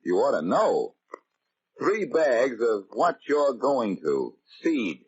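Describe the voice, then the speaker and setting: An actor playing a brash, confident young amateur detective with a glib, sardonic American delivery in a 1940s radio drama. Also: male voice, medium pitch, huge pitch swings, slow. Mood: brisk, insistent. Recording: muffled, noisy old radio recording.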